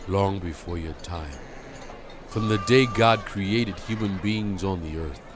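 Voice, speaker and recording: A man playing a solemn, stern prophet and leader exhorting his people in a measured, dramatized scripture reading. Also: sits low, background noise. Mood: solemn, awed. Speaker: Bible narrator.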